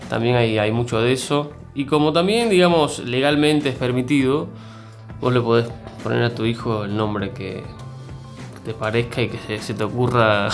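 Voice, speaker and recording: A middle-aged man from Cuba with a mixed Cuban and Argentine accent, talking as an interview guest with many fillers and restarts. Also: medium pitch. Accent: Cuban with Argentine mix. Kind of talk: conversational interview.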